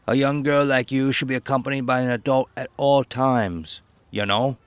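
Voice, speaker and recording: A man's voice: medium pitch, steady tone, normal speed, clean tone, no room sound, close to an everyday voice. A man playing a concerned pool lifeguard in an audio horror drama.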